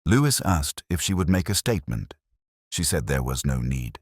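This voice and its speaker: A very low-voiced, melancholy police inspector played by a man recounts a case like a literary mystery. His husky voice moves expressively through measured, somber reflections. The recording is dry and close. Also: big pitch swings, no room sound, full character voice. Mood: brooding, melancholy. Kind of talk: scripted first-person literary mystery narration.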